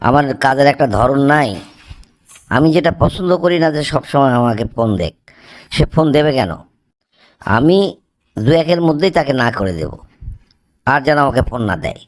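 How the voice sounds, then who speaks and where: A man's voice: medium pitch, lively intonation, moderate pace, pauses often, dry recording, lightly acted. A man playing a young student son in an amateur cartoon, speaking in a dramatic way. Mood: annoyed, complaining. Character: young male student, impatient, later remorseful son.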